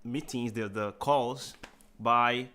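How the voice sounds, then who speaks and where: A man from Brazil with a Brazilian Portuguese accent, whose first language is Portuguese, hosting a livestream interview over a video call in a relaxed way, asking questions and speaking to viewers. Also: mid-range voice, slow pace. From Salvador, Bahia, Brazil. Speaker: host.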